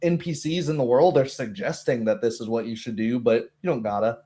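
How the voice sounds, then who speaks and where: A man with a mid-pitched voice talks casually as a tabletop game master. He rambles through his thoughts and slips into quick acted-out quotes to make his points. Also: mellow. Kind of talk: casual chat about a tabletop game session.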